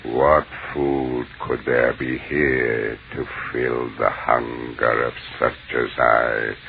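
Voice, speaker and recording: An actor playing an ancient, contemptuous, menacing alien mind in old-time radio horror, speaking in slow, pompous pronouncements. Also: male voice, very deep voice, flat delivery, gravelly, exaggerated voice. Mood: menacing, hungry. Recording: muffled, narrow-band old radio broadcast with hiss.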